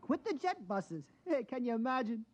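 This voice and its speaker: An actor playing a good-natured working-class bus driver, rambling and colloquial, in a 1950s radio drama. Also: male voice, very high voice, sing-song, moderate pace. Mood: sheepish, chatty. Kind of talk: 1950s science fiction radio acting. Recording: dull vintage radio recording.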